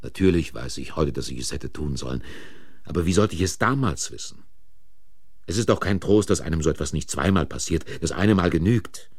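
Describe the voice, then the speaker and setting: An actor playing a troubled married office worker in a German radio crime drama, brooding and halting as he recounts his mistake. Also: rumbling low, lively intonation, dry recording, clean studio sound. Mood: brooding regret. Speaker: main character and narrator. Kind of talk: German radio crime drama acting, interior monologue and dialogue.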